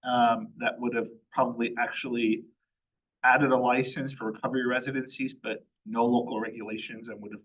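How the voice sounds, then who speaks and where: A man's voice: medium pitch, flat delivery, a little fast, fairly bright tone, low-quality recording. A man, a chief of staff, giving a matter-of-fact spoken update at a public meeting, with frequent ums and uhs.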